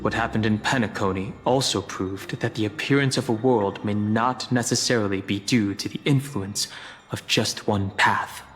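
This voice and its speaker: A man playing a composed, thoughtful traveling companion in a video game, with a measured, analytical delivery. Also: lowish voice, fairly bright tone, natural voice. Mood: calm, analytical. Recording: long reverb, faint music.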